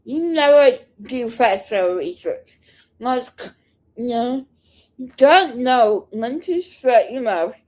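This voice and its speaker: Slow, high-pitched, combative woman. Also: animated, darkish tone. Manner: combative, scornful, curt. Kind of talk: unscripted heckling reaction commentary.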